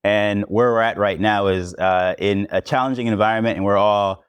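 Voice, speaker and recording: A man's voice: lowish voice, steady tone, normal speed, clean tone, dry recording. Jamaican man on a lavalier mic. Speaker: podcast host and panelist. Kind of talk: conference panel talk.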